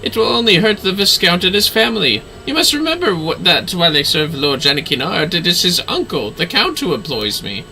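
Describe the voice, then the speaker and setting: A man plays a dutiful fantasy-game manservant who carries a sword. He sounds grave, courtly and earnest, with a very bright, forceful voice. His phrasing is measured and formal. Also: sits high, very animated, gravelly, soft background music, exaggerated voice, rough recording. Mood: earnest, worried.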